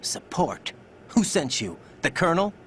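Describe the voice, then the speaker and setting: An actor playing a confused, earnest young soldier in a video game, asking terse, disbelieving questions. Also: male voice, on the high side, sing-song, measured pace, a little hiss or hum. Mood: puzzled, suspicious. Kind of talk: dramatic video game cutscene acting.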